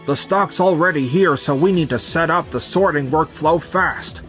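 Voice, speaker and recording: A man's voice: a little high, moderate pace, a bit bright, faint music behind, lightly acted. A man voicing an anxious, hesitant young salesman in a manga dub. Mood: worried.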